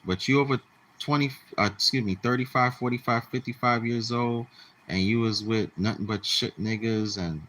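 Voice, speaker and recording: A man's voice: mid-range voice, normal speed, a bit bright, poor audio. A man in his late forties speaking straight to his viewers in a blunt, repetitive, lecturing way. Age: 40s. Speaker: channel host. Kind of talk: casual monologue to the camera.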